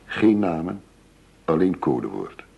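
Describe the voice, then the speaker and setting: An actor playing a shrewd, businesslike undercover negotiator posing as a money dealer in a radio drama. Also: male voice, low voice, big pitch swings, gravelly, husky, muffled recording, heavily acted. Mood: businesslike, guarded. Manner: measured, businesslike, formal.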